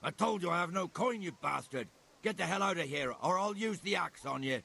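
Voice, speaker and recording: An actor playing a belligerent, foul-mouthed villager in a video game, rough-voiced and aggressive. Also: male voice, high voice, lively intonation, brisk, bright, forceful tone, slight background noise, full character voice. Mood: furious, threatening. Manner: aggressive, insulting.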